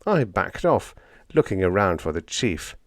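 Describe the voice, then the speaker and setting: Low-pitched, unhurried male audiobook narrator. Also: sing-song, dry recording, studio quality. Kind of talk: dramatic scripted story narration.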